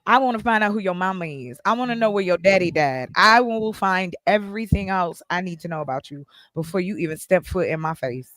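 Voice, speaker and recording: A woman's voice: lowish voice, a little fast, hardly any pauses, a bit bright, no room sound, studio quality. A woman in her forties hosting a livestream group chat, blunt and playful, teasing the men. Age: 40s. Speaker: host.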